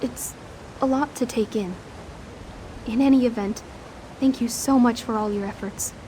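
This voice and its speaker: A woman's voice: a little high, moderate pace, mellow, slight background noise, lightly acted, low-quality recording. An actress playing a polite, bewildered young daughter grieving her mother in a video game, earnest and courteous. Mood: overwhelmed, grateful. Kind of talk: dramatic video game dialogue.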